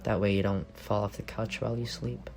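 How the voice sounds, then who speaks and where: A man playing an affectionate, teasing young roommate secretly in love, in an intimate ASMR roleplay with a soft, creaky voice. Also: fairly low pitch, flat delivery, a little fast, natural voice. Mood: fond, soothing. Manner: playful teasing murmur with soft chuckles.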